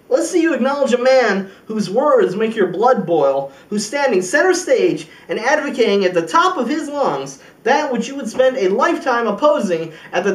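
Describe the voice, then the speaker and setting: A high-pitched male voice delivers a resolute presidential speech as an amateur actor's monologue. He is emphatic and indignant, pausing deliberately for weight. The sound is roomy and distant from the microphone. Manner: emphatic oratory with deliberate pauses and rhetorical questions. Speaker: amateur acting student. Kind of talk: rehearsed film monologue as a political speech.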